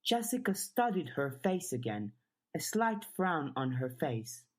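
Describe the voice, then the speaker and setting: A woman's voice: sits low, sing-song, measured pace. A woman reading scripted fiction as an audiobook narrator. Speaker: audiobook reader.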